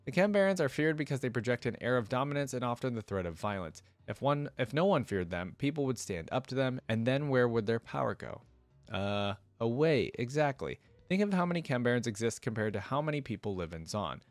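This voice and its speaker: A man voicing a calm, calculating male scientist who lectures persuasively in a storybook read-aloud. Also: mid-range voice, a little fast, no echo, soft background music, close to an everyday voice. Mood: measured, persuasive.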